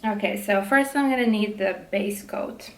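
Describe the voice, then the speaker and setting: A young woman with a naturally loud voice, chatting casually at home while doing her nails, frank and fond of swearing, full of likes and ums, holding her voice down. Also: mid-range voice, normal speed, echoey room. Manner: frank, swears freely, many "like" and "um" fillers; speaking softly to keep quiet. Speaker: vlogger, PhD student. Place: room at home.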